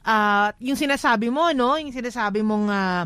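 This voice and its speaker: A woman's voice: on the high side, normal speed, fairly bright tone, clear, resonant tone, dry recording. A woman with a Filipino accent co-hosting an advice show, talking conversationally in a frank, emphatic way and slipping into English. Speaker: radio advice show co-host.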